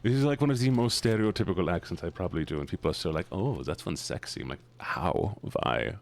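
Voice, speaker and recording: A man's voice: deep voice, animated, fast talker, gravelly, a little hiss or hum. A man voicing a playful scientist character, theatrical and repetitive, on a game stream. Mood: showy, playful.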